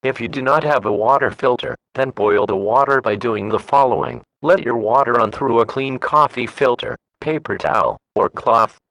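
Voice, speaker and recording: A man's synthetic voice with a dry, close sound and a middling pitch delivers a formal emergency broadcast announcement. The reading is measured and flat, scripted from start to finish. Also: gravelly, dry recording, rough recording.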